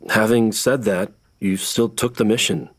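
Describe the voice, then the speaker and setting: A man's voice: fairly low pitch, steady tone, measured pace. A man playing a determined, stubborn outsider with a dry, insistent manner at a table read.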